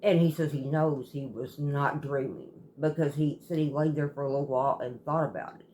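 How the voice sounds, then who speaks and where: Halting woman storyteller, noisy recording. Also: deep voice, fairly even intonation, a little mellow. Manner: halting, rambling, often circling back and repeating. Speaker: storytelling host. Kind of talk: casual storytelling, retelling a viewer's account.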